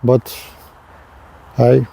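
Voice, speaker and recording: A man giving a hands-on product demonstration in halting short phrases, with non-native English phrasing. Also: background noise. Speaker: radio reviewer.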